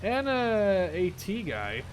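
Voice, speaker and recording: Young man casually commentating a game, higher-pitched. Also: wildly swooping pitch, takes it slowly, slight background noise. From Netherlands. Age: 20s. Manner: informal, thinking aloud while playing. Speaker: gaming video host.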